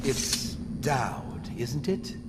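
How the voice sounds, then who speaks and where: A man voicing a bitter, vengeful ruined man in a video game, speaking with deliberate intensity. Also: medium pitch, expressive, heavy background noise. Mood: bitter, plotting. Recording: long reverb under loud music.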